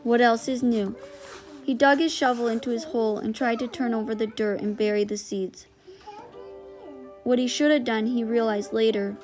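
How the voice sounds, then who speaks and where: A woman's voice: a little high, darkish tone. A woman reading a storybook aloud as scripted narration. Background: music.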